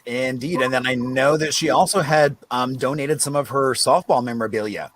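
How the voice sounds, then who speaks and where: A man's voice: medium pitch, moderate pace, a bit bright. A man hosting a casual virtual chat, easygoing and affirming, on a video call. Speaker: host.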